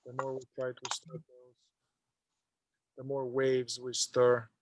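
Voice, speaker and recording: A man leading a guided meditation, speaking in an unhurried, halting way with long pauses. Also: medium pitch, completely flat delivery, dry recording.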